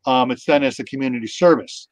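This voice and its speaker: A man's voice: mid-range voice, fast talker, dry recording. A middle-aged man hosting a casual video call chat, rambling with many fillers. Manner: rambling, lots of ums and you knows. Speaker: host. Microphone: webcam on video call. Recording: dull, dry webcam audio.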